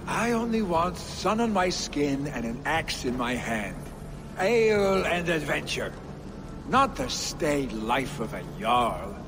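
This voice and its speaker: An actor playing a carefree, boastful young Viking warrior and chieftain's heir in a video game, with a flippant manner. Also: male voice, fairly high pitch, sing-song, slow pace, background noise, heavily acted. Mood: restless, evasive. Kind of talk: video game cutscene acting.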